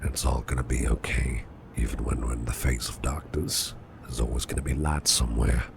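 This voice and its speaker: A man playing a caring, protective businessman boss in an ASMR roleplay, speaking in a soothing, reassuring, breathy half-whisper. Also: very deep voice, flat delivery, fairly quick, noisy background. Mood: tender, reassuring. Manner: soothing, reassuring, affectionate, gently murmured. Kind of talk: soft ASMR roleplay, personal attention.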